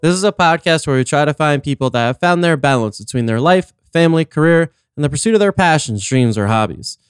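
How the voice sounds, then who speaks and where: Crisp, dry-voiced male podcast host. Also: a little high, lively intonation, fairly quick, dry recording. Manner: joking, laid-back, swears freely. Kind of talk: casual podcast interview.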